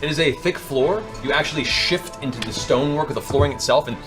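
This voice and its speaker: A man narrating a tabletop game as game master, in a theatrical, descriptive way with dramatic pauses. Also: fairly high pitch. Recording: music behind. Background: background music.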